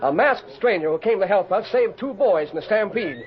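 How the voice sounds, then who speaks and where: An actor playing an excitable, folksy Old West rancher with exclamatory cowboy talk in a 1950s radio western. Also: male voice, sits high, fairly quick, faint music behind. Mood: excited. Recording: muffled, faintly noisy old radio recording.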